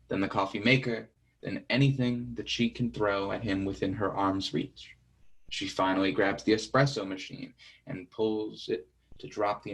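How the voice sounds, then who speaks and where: A man's voice: fairly low pitch, poor audio. A man reading out stage directions as scripted narration for a play reading.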